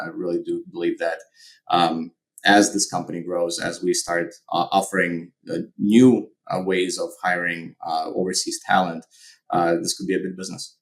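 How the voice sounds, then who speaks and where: A middle-aged man with a Ukrainian accent talks through his answers in a very deep, flat voice. He is measured and keeps pausing on fillers and restarting his sentences. He sounds like a podcast guest. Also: low-quality recording. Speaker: guest, company founder.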